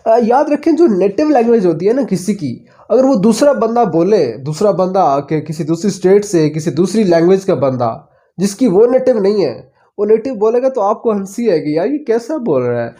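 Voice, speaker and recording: A man from Pakistan with a Pakistani accent, teaching English vocabulary in a slow classroom style, repeating each word and quizzing his listeners. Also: high-pitched, sing-song, dark tone. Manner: repeats each word several times and asks the listener questions.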